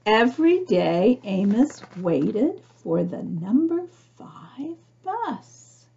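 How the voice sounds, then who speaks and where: A woman reads a picture book aloud to young children, pausing to explain things simply and ask the listener questions. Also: fairly high pitch, very animated, gravelly, dark tone, breathy edge, highs cut off. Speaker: storybook reader for young children.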